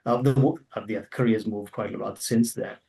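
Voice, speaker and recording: A man's voice: fairly low pitch, steady tone, brisk, vocal fry, mellow, distant mic. A middle-aged man who grew up in Zambia, answering interview questions in a hesitant way with many fillers and restarts. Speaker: interview guest, author.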